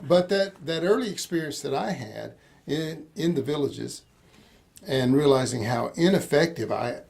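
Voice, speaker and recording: Older male interview host, gravelly texture, loose and chatty, hesitant restarts. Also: fairly high pitch, animated, normal speed, darkish tone. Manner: rambling, hesitant restarts, laughs easily. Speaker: interviewer, missions organization host. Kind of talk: casual conversational interview.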